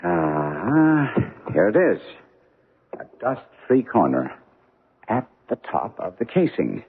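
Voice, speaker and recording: A muffled old radio recording carries a keen, commanding detective. His low voice swings widely in pitch as he probes deliberately and theatrically, in the manner of classic radio mystery. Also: huge pitch swings, husky, exaggerated voice. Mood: intent, musing. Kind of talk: old-time radio drama acting.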